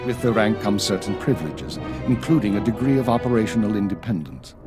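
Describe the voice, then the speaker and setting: An actor playing a stern, commanding intelligence chief in a sci-fi game, speaking with grave, clipped authority. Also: male voice, lowish voice, lively intonation, a little fast, over music, close to an everyday voice. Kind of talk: video game voice acting.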